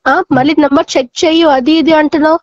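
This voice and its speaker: A woman's voice: sits high, steady tone, fast talker, a little mellow, no room sound. A woman talking on the phone in a blunt, exasperated, challenging way. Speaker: prank call recipient. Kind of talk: casual phone argument. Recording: dull, dry lo-fi phone recording.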